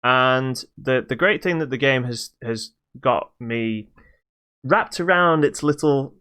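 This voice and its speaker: A man co-hosting a podcast, casually reviewing a video game in a halting but emphatic way. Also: medium pitch, bright, forceful tone, smooth. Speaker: podcast co-host.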